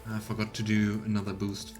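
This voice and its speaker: A man's voice: lowish voice, completely flat delivery, fairly quick, music in the background. A man streaming a let's play, giving casual commentary and reading game narration aloud.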